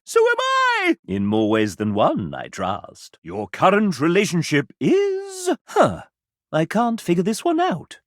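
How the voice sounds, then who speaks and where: A man's voice: high-pitched, no echo, clean studio sound, full character voice. An actor playing a sassy, theatrical male game-show host mascot in a video game, with pompous, showy, flowery delivery. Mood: teasing, showy. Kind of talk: video game character voice lines, game-show hosting.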